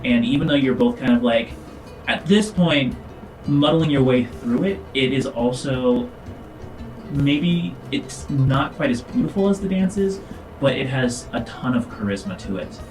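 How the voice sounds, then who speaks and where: A man narrating a tabletop game as game master, improvising descriptions in a halting, informal way. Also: medium pitch, expressive, darkish tone, recorded from a distance, low-quality recording. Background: music.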